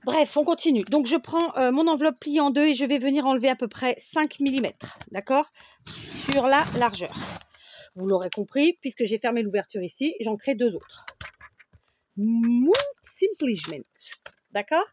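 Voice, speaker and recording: A chatty woman from France walks viewers through a craft project at home, her voice sitting a little high. She keeps checking in with her listeners as she goes. A faint hiss runs under the recording. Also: lively intonation, normal speed, darkish tone. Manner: chatty, checks in often with "d'accord?". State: runny nose. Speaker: craft tutorial host. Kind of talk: casual scrapbooking tutorial. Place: home craft table with a fan running.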